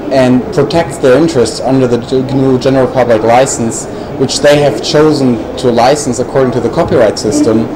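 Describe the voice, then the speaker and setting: A man on a panel replying at length to an audience question in a measured way, with frequent fillers. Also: mid-range voice. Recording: noisy recording.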